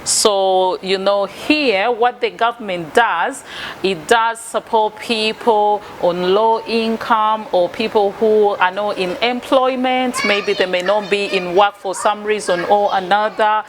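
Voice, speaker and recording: A woman's voice: medium pitch, steady tone, slow. A woman from Uganda hosting a casual vlog tour, chatting straight to her viewers and repeating herself as she goes. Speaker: video host. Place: high up on a sixth-floor block of flats. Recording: noisy ambient vlog sound.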